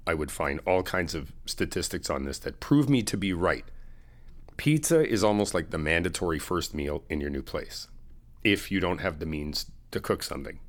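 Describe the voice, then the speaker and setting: A man in a friend roleplay, chatting casually and upbeat to the listener, laughing often and teasing. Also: deep voice. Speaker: ASMR roleplay creator playing a best friend.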